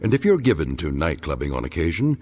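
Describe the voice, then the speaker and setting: A man narrating a scripted radio drama in a wry, measured, formal manner. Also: very deep voice, sing-song, a little mellow, soft background music.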